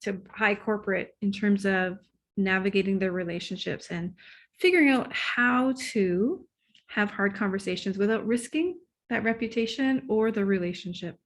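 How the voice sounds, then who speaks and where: A woman relationship coach chatting as an interview guest, her voice sitting at an ordinary middle pitch. She gets excited and laughs easily. She sometimes loses her thread mid-answer. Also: normal speed. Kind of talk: casual interview talk.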